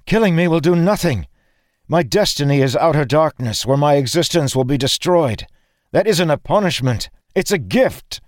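Man performing youthful male warrior, guilt-laden, desperate, earnest pleading, raised pitch, fantasy audiobook. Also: lively intonation, moderate pace, darkish tone, no echo, clean studio sound, close to an everyday voice. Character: anguished, guilt-ridden warrior made young again, pleading.

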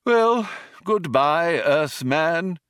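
A man's voice: a little high, expressive, extremely slow, fairly bright tone, clear, resonant tone, no echo, studio quality, pitched above the performer's own voice, exaggerated voice. A man voicing a polite, easily wounded old craftsman in an audiobook, hesitant, proud and mournful. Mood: sad. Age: older. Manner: hesitant, courteous, indignant. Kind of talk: audiobook character voice.

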